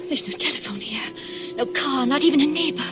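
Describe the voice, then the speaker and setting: An actress playing an anxious young wife, defensive and frightened, with tense, clipped delivery in 1940s radio suspense style. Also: female voice, high-pitched, normal speed, loud music behind the voice, lightly acted. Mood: alarmed. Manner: tense, clipped denials and protests. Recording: muffled, noisy 1940s radio recording.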